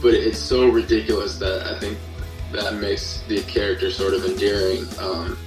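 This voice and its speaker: A man chatting casually as an interview guest, explaining his creative work in a halting but enthusiastic way. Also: fairly low pitch, flat delivery. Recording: roomy, distant mic, faint music.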